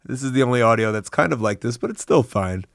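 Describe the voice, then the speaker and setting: A man's voice: medium pitch, lively intonation, quick pace, creaky, dry recording. Man, podcast host, chatty narration, self-deprecating, phone mic, dry close recording. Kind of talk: recorded narration between clips. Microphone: phone microphone.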